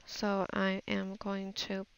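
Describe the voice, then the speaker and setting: A woman's voice: mid-range voice, completely flat delivery, takes it slowly, creaky, smooth, low-quality recording. A woman giving a casual voice-over tutorial on her art, thinking aloud in a musing, hesitant way as if half talking to herself. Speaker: digital artist giving a tutorial.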